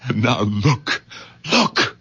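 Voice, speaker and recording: Fervent, agitated doctor, swooping husky voice. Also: male voice, mid-range voice, sing-song, very slow, sharp tone, heavily acted. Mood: fervent confession. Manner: impassioned, repeats himself insistently. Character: agitated middle-aged male doctor, fervent believer in a miracle. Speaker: actor. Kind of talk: 1970s radio drama acting. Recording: slightly dull vintage radio recording.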